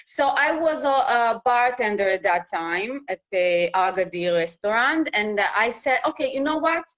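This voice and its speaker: A woman in her thirties, a news reporter from Russia, speaking English as a second language with a Russian accent, talking in a halting way with many fillers and restarts over a video call. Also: fairly high pitch, expressive, a bit bright. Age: 30s. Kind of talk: casual interview conversation.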